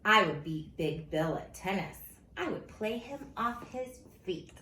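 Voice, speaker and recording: Animated woman reading a silly children's storybook. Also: mid-range voice, measured pace. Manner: silly, theatrical, expressive. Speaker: teacher reading story time. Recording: roomy echo with faint background noise.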